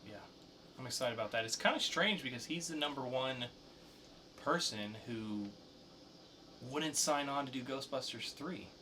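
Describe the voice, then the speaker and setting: A man's voice: mid-range voice, animated, normal speed, pauses often, bright, forceful tone, a little hiss or hum. A man co-hosting a podcast, talking casually through pop-culture news. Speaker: podcast co-host.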